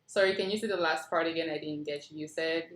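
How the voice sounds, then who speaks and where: A writer runs a casual feedback session in a mid-pitched voice. The manner is apologetic and inquisitive, often checking and clarifying, and the sound carries a noticeable room echo. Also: fairly even intonation, quick pace, a bit bright. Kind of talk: casual workshop discussion. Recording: roomy, echoing room sound.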